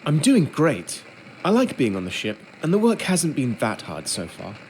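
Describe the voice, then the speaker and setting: An actor playing a polite, earnest young ship's steward in a period video game, speaking in articulate, measured words. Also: male voice, on the high side, huge pitch swings, a little mellow, a little hiss or hum. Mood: polite, upbeat. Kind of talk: video game character acting and first-person narration.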